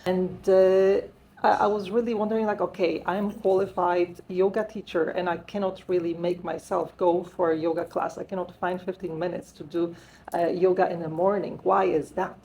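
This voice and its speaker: A woman's voice: fairly low pitch, flat delivery, normal speed, warm tone, highs cut off, a little hiss or hum. A Polish-accented woman in her forties, a wellbeing consultant talking at length in an interview, with frequent fillers and an earnest tone. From Poland. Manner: talkative, many "uh" fillers, earnest. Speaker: guest, wellbeing strategist. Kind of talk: interview, personal story.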